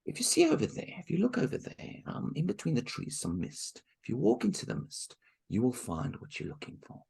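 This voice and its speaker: Brief: wise winter spirit, voiced by a man, measured and quiet, gravelly texture. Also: on the high side, wildly swooping pitch, exaggerated voice. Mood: calm. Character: calm wise spirit of December.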